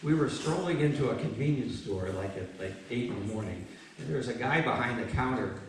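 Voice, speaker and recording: A man speaking to a live gathering as a poet, reflective and halting, moving between informal talk and reading. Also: medium pitch, animated. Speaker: poet presenting his work. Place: book launch event in an echoey room. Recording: echoey room, distant mic, faint noise.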